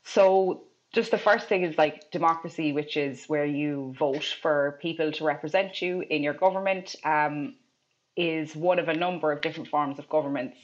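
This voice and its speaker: A woman's voice: deep voice, moderate pace. Woman explaining history, dull sound. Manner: explanatory, lightly laughing. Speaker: podcast co-host explaining history. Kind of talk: casual explainer.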